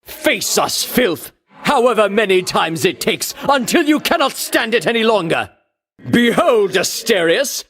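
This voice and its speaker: Vainglorious male game champion: scornful, grandiose, slow declamatory delivery with sweeping, animated intonation. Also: sits high, heavily acted. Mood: haughty, defiant. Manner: grandiose, declamatory, archaic heroic diction. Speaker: voice actor. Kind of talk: theatrical video game battle lines.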